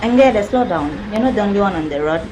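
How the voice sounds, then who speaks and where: A woman's voice: mid-range voice, expressive, fast, noisy background, lightly acted. A woman playing a cautious, earnest figure who admonishes others in a radio drama. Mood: scolding. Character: cautious, earnest woman warning a reckless rider.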